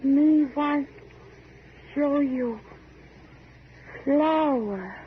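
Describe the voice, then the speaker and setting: A simple, childlike worker in a 1940s radio play. The voice is high and drawn out very slowly, in broken, primitive phrases. The recording is narrow and tinny. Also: female voice, a little hiss or hum. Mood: simple, gentle. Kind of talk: 1940s radio drama acting.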